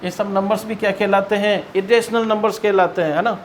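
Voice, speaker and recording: Indian guy teaching maths, pretty high voice, asks questions then answers them, bit of noise behind. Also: steady tone, fairly quick. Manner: asks and answers own questions, repeats key points. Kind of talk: explanatory classroom-style maths lesson.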